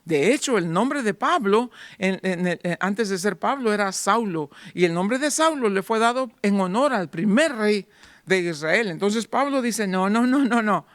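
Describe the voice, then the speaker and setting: Man, pastor, sermon, high voice, dry recording, rhetorical questions. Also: lively intonation, a little slow, a bit bright, dry recording. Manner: rhetorical questions, voicing doubting listeners.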